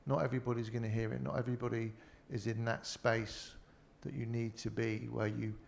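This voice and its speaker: A man's voice: mid-range voice, flat delivery, a little fast, gravelly, a little mellow. A man with a Liverpool accent speaking on a panel in a rambling way, full of "you know". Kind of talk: casual panel talk.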